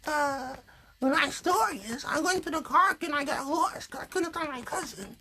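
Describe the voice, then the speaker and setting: A man's voice: extremely high pitch, moderate pace, sharp tone, slight background noise, voice pushed up, heavily acted. A man voicing a sassy young girl puppet who talks in a blunt, childlike, hesitant way in an improvised puppet show. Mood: recounting.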